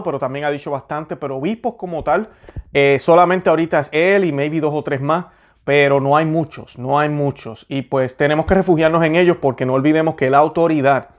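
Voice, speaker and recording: Earnest man hosting a faith show. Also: on the high side. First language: Spanish. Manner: earnest, conversational, with many fillers. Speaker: host. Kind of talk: religious commentary and prayer.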